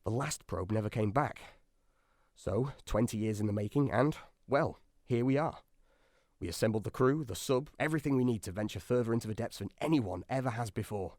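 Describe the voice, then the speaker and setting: A man's voice: medium pitch, fairly quick, a little mellow, no room sound, studio quality, lightly acted. A man acting in a radio drama as a wry, anxious science officer aboard a submarine, chatty and dryly sarcastic.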